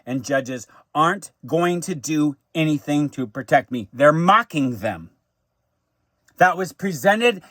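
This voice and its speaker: Casting: American male for an off-the-cuff personal monologue, fairly high voice, stammered restarts, emphatic repetition, very dry recording. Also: a bit bright, no room sound. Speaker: vlogger recounting personal grievances.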